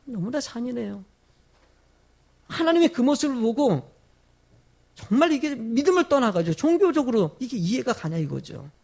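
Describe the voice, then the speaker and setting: High-pitched, gravelly man preaching. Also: sing-song, a little mellow. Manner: rhetorical questions put to the congregation; emphatic, with drawn-out stressed phrases. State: sore throat from a cold. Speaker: pastor preaching to his congregation. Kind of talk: sermon.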